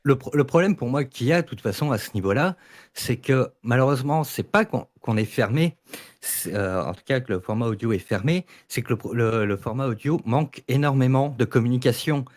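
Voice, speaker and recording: Male debater, medium pitch, informal and opinionated, halting delivery with repeated words. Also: expressive. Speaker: audio fiction debate participant. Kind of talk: casual opinionated debate talk.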